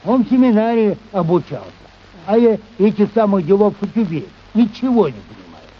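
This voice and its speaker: Grumpy village church scribe, somewhat high voice, halting, easily muddled, radio drama, slightly dull sound. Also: male voice, expressive. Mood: confused, grumbling. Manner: grumbling, halting, folksy. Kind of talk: radio play acting of a classic short story.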